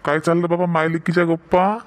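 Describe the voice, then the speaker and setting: A man's voice: on the high side, little pitch movement, fairly quick, natural voice. A man voicing a practical, reassuring middle-aged village father in a cartoon family skit, with a homely Varhadi Marathi accent. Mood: cheerful, inquiring.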